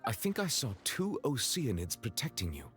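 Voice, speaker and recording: A man voicing a reserved chief judge in a video game, speaking in a formal, measured and socially stiff way. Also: medium pitch, wildly swooping pitch, fairly bright tone, soft background music. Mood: calm, grave.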